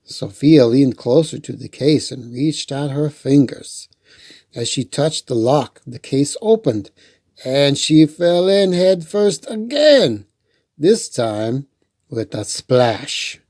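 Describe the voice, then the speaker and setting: Slow, mellow, animated storytime reader. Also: mid-range voice, no echo, clean studio sound. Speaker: children's storytime host and reader. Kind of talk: picture-book read-aloud with playful asides.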